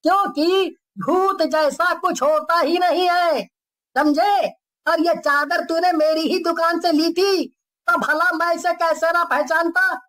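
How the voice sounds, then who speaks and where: A woman's voice: extremely high pitch, fairly even intonation, slow pace, a bit bright, full character voice. A woman voicing a bold, skeptical male village shopkeeper, confident and challenging, in a cartoon story. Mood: triumphant scolding.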